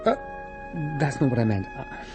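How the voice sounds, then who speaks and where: Nervous, earnest British man in radio drama, mid pitch, halting speech, music underneath. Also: wildly swooping pitch. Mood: awkward yearning. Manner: hesitant, stammering, earnest. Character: awkward, anxious, romantic man of a dystopian future.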